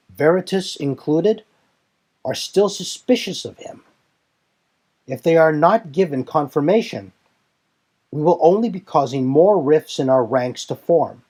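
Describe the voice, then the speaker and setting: A man voicing a solemn, resolute warrior leader in a fan audio drama, speaking in formal, earnest, deliberate phrases. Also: on the high side, lively intonation, darkish tone, pitched above the performer's own voice. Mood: earnest, apologetic.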